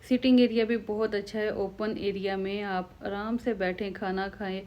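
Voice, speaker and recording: A young woman from Pakistan with a Pakistani Urdu accent talks straight to her viewers in a relaxed travel vlog. Her voice sits at a middle pitch and stays level, with little rise and fall. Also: normal speed. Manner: chatty, conversational, speaking straight to viewers. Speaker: vlogger. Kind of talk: casual travel vlog narration.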